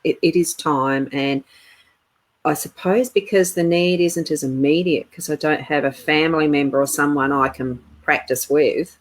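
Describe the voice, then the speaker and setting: A middle-aged woman co-hosting a live spiritual chat stream, talking in a meandering, halting way. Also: deep voice. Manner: meandering, halting, laughs often.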